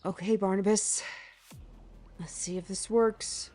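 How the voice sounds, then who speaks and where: Lady doing a brash adventurer, gruff, says lass and oi a lot, slow but loud. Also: mid-range voice, fairly even intonation, big-room sound, faint music behind, lightly acted. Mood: urgent. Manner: gruff, uses lass and lad, bursts into shouts.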